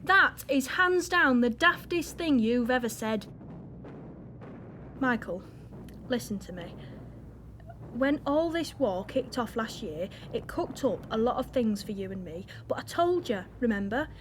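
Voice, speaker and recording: An actress playing a frustrated young wife from wartime northern England in an audio drama, blunt and emotional, with a Northern English accent. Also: female voice, a little high, lively intonation, unhurried, a bit bright, a little hiss or hum. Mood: exasperated, then earnest pleading.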